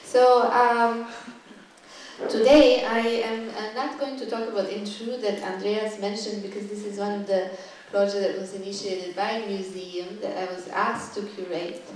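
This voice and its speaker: A woman gives a university talk, halting and thoughtful, stopping often to find her next words. Her voice is fairly flat and sits at an ordinary pitch. It comes from well back in a very echoey lecture room with a faint hum underneath. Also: distant mic. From Serbia. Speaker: guest curator giving a talk. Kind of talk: lecture to a live audience. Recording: echoey room, voice picked up from afar.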